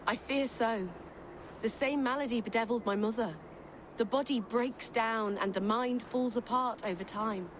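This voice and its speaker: An actress playing a kindly herbalist woman who speaks politely and softly, with clear ambient noise behind her in a game. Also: fairly high pitch, normal speed, lightly acted. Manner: polite, soft-spoken, gracious.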